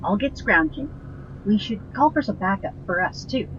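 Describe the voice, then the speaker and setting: A woman playing a cautious, anxious, loyal partner in an audio drama, speaking earnestly and in a measured way. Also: mid-range voice, animated, lightly acted. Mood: dutiful. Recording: slightly dull sound with faint noise.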